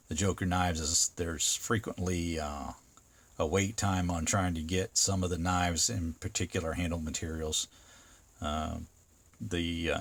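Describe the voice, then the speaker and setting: Very low-voiced man reviewing products casually. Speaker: product reviewer and video host.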